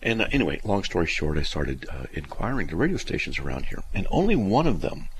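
A man's voice: deep voice, big pitch swings, quick pace, husky. A middle-aged man hosting a call-in radio show, telling stories in a folksy, self-deprecating way. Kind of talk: casual call-in radio chat.

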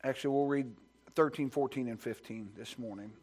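A pastor speaks from the pulpit of a church sanctuary, his voice sitting at an ordinary pitch for a man. He moves between a hushed, earnest prayer and climbing, loud, fervent preaching. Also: a little fast. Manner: builds to loud, high-pitched preaching fervor; soft, hushed praying voice. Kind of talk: sermon with scripture reading and prayer.

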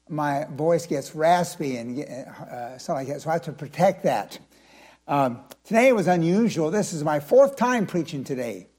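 An older male pastor with a slightly raspy voice, talking in a folksy, chatty way before a sermon and then rising into emphatic preaching. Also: fairly high pitch, darkish tone. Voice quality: raspy from vocal strain. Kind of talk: evening church sermon.

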